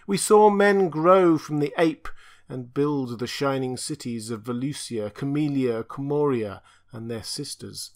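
A man's voice: medium pitch, pitched above the performer's own voice, natural voice. A man voicing an ancient, blind, tortured alien being that speaks in a raised, mournful, chanting way. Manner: lamenting, chanting, raised and pleading.

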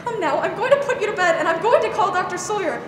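A woman acts a kindly but no-nonsense aunt in old radio drama style. Her voice is very high. It echoes heavily across a noisy stage, picked up at a distance. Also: animated, fast. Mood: firm. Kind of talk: 1940s radio drama acting. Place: school theater stage. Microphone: stand microphone, masked performer.

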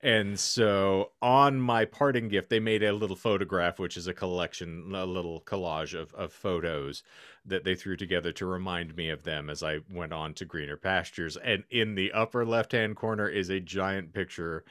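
Male podcast co-host, deep voice, close dry sound, halting mock-formal storytelling. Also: fairly even intonation, a bit bright, no echo. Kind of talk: casual banter on a podcast. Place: indoor home room, remote video call.

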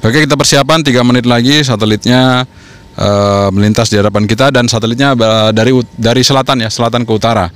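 A man's voice: medium pitch, fairly even intonation, quick pace, smooth, no room sound. A man in his forties with an Indonesian accent, talking casually as an amateur radio vlogger and often repeating himself. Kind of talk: casual vlog talk and radio call-outs. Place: outdoors on a rooftop in the open air.

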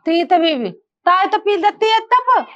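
A cranky, insistent old mother-in-law with a thick childlike lisp, as a woman acts her out. Her voice is shrill and very high. The sound is dull and muffled. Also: very animated, measured pace, fairly bright tone, exaggerated voice. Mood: nagging. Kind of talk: comic skit acting.

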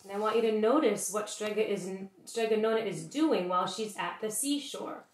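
A middle-aged woman reading a picture book aloud to young children in an expressive teacherly way, pausing to address her listeners. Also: medium pitch. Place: small bedroom, indoors. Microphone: webcam or laptop at arm's length. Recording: roomy home webcam recording.

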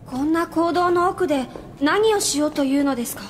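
Woman playing high-voiced, composed young male priest. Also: fairly quick, slight background noise, natural voice. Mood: doubtful, polite. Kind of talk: anime audio drama acting.

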